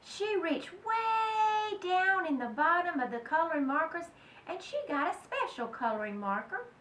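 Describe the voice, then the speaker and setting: Woman, high-pitched, unhurried, dramatic children's story reading, echoey room, slightly distant mic. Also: lively intonation, a bit bright. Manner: dramatic, emphatic, addresses young listeners directly. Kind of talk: animated read-aloud to children.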